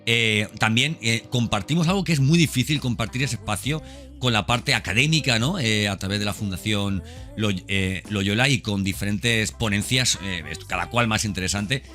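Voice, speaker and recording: A man's voice: on the low side, normal speed, sharp tone, soft background music. A man with an accent from Spain giving a casual, enthusiastic podcast monologue, repeating words and often checking for agreement. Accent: Spanish, from Spain. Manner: conversational and enthusiastic, repeats words, seeks agreement with tag questions. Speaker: podcast host.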